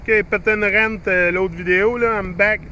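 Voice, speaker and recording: A man's voice: high voice, steady tone, moderate pace, sharp tone, muffled recording, a little hiss or hum. A middle-aged man with a Québécois French accent, talking to his YouTube viewers in a rambling, chatty way with many fillers and restarts. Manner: rambling, chatty, frequent fillers and restarts, mixes in English phrases. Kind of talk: rambling casual monologue to viewers.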